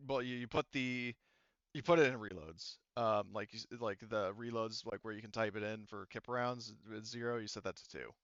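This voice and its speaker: A man acts as game master in a casual tabletop session. He explains in halting, wandering asides, with a clear voice. The sound is dry and a little muffled. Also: lowish voice, a bit bright, no room sound.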